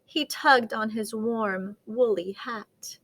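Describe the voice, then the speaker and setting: A woman reading a children's picture book aloud as a storyteller, with dramatic, deliberate expression. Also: fairly high pitch, fairly bright tone.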